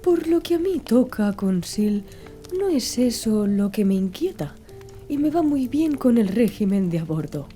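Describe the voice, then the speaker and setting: Woman voicing a courteous learned gentleman, very mellow. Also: fairly high pitch, sing-song, soft background music. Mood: courteous, reflective. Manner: formal, measured. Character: learned gentleman traveller, courteous master of a servant. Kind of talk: audiobook character dialogue.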